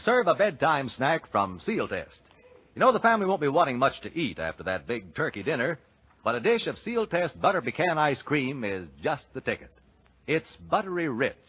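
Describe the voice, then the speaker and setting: A man's voice: mid-range voice, breathy edge. A man working as an announcer, reading scripted commercials and credits in 1940s radio style. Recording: muffled 1940s radio broadcast, music.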